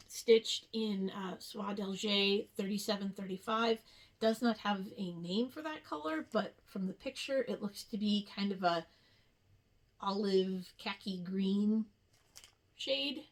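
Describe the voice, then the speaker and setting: Woman, slow-paced, casual vlog host, rambling show-and-tell chat, mid-range pitch. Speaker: cross-stitch video host.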